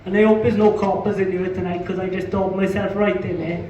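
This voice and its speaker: A man's voice: high voice, flat delivery, a little fast, warm tone, slight background noise. A man with a Welsh accent doing a stand-up comedy set in a pub, punchy, sweary and self-mocking. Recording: echoey pub room, distant muffled mic.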